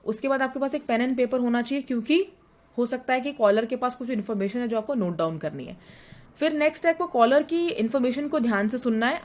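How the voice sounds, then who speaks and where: A woman's voice: a little high, slight background noise. A woman teacher giving an exam revision lesson, explaining each point in plain words and repeating English terms.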